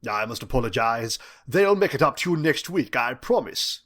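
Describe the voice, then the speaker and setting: A man voicing a suave, smug math teacher for a storybook, smooth and polite, with a British accent. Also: medium pitch, big pitch swings, moderate pace, fairly bright tone. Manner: smooth, polite, drily self-satisfied.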